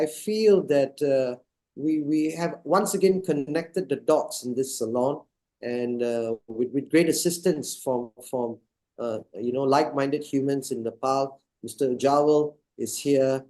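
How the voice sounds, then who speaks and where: Mellow-voiced man moderating a video panel. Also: fairly high pitch. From Singapore. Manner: hesitant, many fillers and restarts. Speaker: moderator of online summit. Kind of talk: casual panel discussion.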